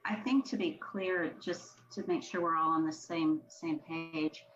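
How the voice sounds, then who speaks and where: A low-voiced woman handles procedure at a public meeting, speaking slowly and carefully. She pauses as she clarifies. The audio is a little dull, with faint noise from the online call. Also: steady tone, a bit bright, soft background music. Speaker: commission staff member running the roll-call vote.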